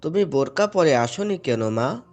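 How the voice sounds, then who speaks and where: Devout, gently admonishing father, higher-pitched man acting. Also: lively intonation, normal speed, faint music behind, close to an everyday voice. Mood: earnest admonishing. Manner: earnest, patient, instructive. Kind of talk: Islamic moral cartoon dialogue.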